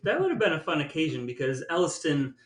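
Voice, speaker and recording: A man in his forties with a slightly high voice and a Midwestern American accent hosts a casual family chat. He tells stories with wry, mock-grand phrasing, and the recording is roomy and echoing. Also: sing-song, quick pace. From Ohio, United States. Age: 40s. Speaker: podcast host.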